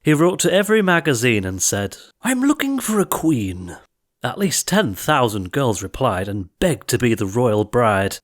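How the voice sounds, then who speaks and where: A man's voice: medium pitch, lively intonation, moderate pace, few pauses. A man reading comic verse as an audiobook narrator, with a theatrical, rhythmic delivery.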